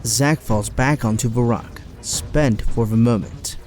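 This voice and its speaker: A man's voice: medium pitch, slow pace, a little mellow, soft background music. Man narrating an action audio drama.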